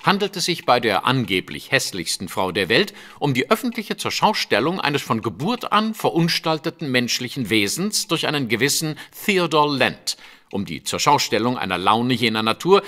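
Haughty gentleman detective for audio drama: bright, forceful, lively intonation, formal and fastidious. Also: mid-range voice. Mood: disapproving. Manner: pompous, precise, formal.

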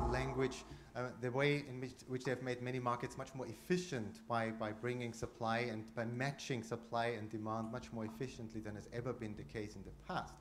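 A man's voice: mid-range voice, slight background noise. A man in his forties from Austria, speaking as a panelist in a conference room, restarting phrases often. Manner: speaks with frequent restarts and fillers, gestures while talking. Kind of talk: roundtable remarks. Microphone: handheld microphone.

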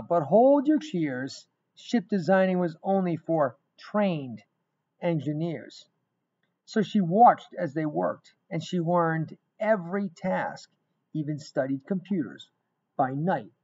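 A middle-aged man reading a rhyming picture book aloud in an expressive, emphatic storytelling manner. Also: a little high, slow, husky. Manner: emphatic, dramatic storytelling with repeated phrases. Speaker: guest reader of a children's book. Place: small indoor room. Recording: dull, lo-fi webcam sound.

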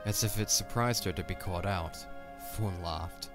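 A man's voice: deep voice, normal speed, over music. A man narrating a scripted audio drama as a dramatic storyteller.

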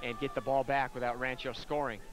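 A man analyzing football plays in a folksy, halting way, leaning on fillers as he works through each point. Outdoor stadium noise comes through clearly behind him on a 1990s broadcast. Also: mid-range voice, little pitch movement. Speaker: color commentator. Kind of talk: football color commentary.

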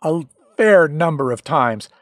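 A middle-aged man talking through a software tutorial, with relaxed explanations and dry little jokes. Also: on the high side, a little slow, no room sound. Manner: relaxed, dryly joking explanations. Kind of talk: screen-recorded software tutorial.

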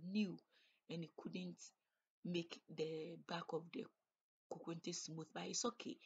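A woman's voice: mid-range voice, husky, lo-fi sound. Chatty woman cooking at home. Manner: chatty, explains each step as she cooks. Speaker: cooking vlogger. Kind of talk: casual cook-along narration. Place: home kitchen.

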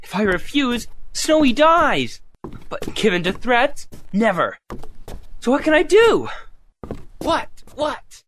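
An earnest young male reporter in an audio adventure, acted by a man. He is excitable, with big swings in pitch. A faint noise sits behind the voice. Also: slow pace, pauses often, heavily acted. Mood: alarmed. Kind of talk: audio drama acting.

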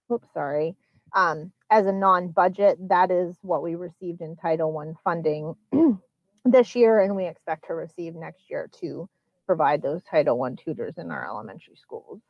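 A woman presenting a school budget at a public hearing, explaining line items and figures in a measured, explanatory way. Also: on the low side, no room sound, dull audio. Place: public meeting.

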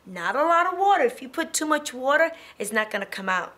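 A middle-aged woman teaching preschoolers in a classroom, talking young children through each step in an encouraging, animated way. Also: sits high, a little fast. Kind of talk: children's educational demonstration. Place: indoor classroom.

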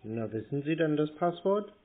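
A man's voice: a little high, lively intonation, natural voice. A man voicing a forgetful, drowsy, very old widower, vague and hesitant, in a prank phone call. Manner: slow-witted, vague, hesitant. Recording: muffled narrowband phone line.

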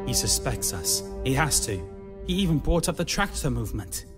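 A man's voice: mid-range voice, very animated, moderate pace, lightly acted. A man playing a doubting, earnest imperial captain in a sci-fi audio drama, urgent in delivery. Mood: worried, insistent. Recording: prominent film music behind the voice.

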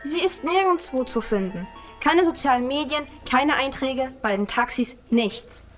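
A woman's voice: high-pitched, animated, unhurried, faint music behind. A woman playing a teasing, jealous virtual taxi assistant in an amateur audio play. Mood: warning.

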